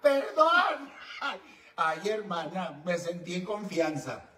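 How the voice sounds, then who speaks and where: Campy high-voiced Mexican man, roomy echo. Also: lively intonation, measured pace. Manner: brash, teasing, campy, bawdy. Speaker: call-in show host and esoteric advisor. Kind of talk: bantering call-in advice show. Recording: roomy echo, distant mic, faint noise.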